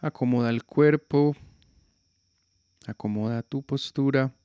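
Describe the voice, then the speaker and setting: Slow, calm man guiding relaxation. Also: mid-range voice, clear, resonant tone, no room sound. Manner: slow, calm, soothing instructions. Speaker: yoga nidra guide. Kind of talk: guided relaxation meditation.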